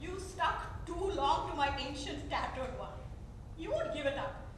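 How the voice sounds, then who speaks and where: A woman's voice: sits high, expressive, measured pace, distant mic. Woman playing a caring mother in an echoey theater. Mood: fond, fussing. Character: caring, worried mother of a grown son. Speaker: actress in a staged reading. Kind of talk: staged play reading. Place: theater stage. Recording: echoey theater recorded from afar, faint noise.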